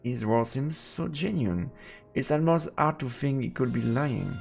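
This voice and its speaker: A man's voice: mid-range voice, animated, measured pace, creaky, a little mellow. A man livestreaming a visual novel, switching between casual muttered commentary and reading the narration aloud over game music. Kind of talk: casual let's play chat mixed with read-aloud narration.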